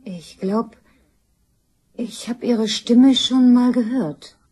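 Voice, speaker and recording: A woman's voice: on the high side, takes it slowly, a little mellow, husky. An actress playing a wary, indignant woman in a crime radio drama, curt and guarded. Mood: alarmed, demanding. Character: wary, indignant woman receiving a threatening call.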